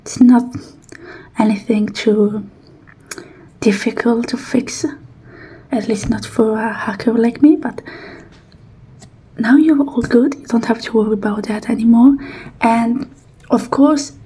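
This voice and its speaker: Hushed, unhurried hacker played by a woman. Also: fairly high pitch, steady tone, breathy edge, low-quality recording. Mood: reassuring. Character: calm, self-assured hacker woman repairing robot implants.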